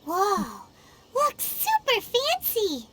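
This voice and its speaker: Tiny chirpy fairy girl, extremely high, bright piercing tone, excitable, anime game character. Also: very slow, a little hiss or hum, full character voice. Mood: admiring, excited. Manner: refers to self in third person, excitable. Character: small chirpy childlike fairy companion girl. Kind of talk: anime video game voice acting.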